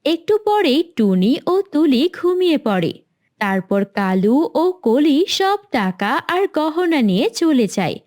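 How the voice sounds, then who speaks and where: A woman's voice: sits high, sing-song, unhurried, a little mellow. A woman narrating a scripted children's cartoon story as its storyteller.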